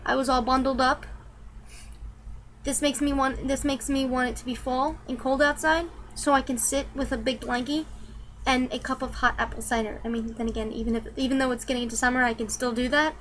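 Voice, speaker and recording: A woman's voice: high voice, steady tone, brisk, a bit bright, a little hiss or hum. A young woman, a little sick and nasal, chatting casually and rambling with lots of ums and likes in a product review vlog. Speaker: vlogger.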